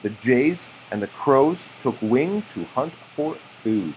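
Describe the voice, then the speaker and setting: Expressive dad reading a storybook, muffled recording. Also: male voice, medium pitch. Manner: slow, drawn-out storytelling delivery. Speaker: parent reading to a child. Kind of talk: read-aloud of a children's picture book, with informal asides. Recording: muffled home recording with background noise.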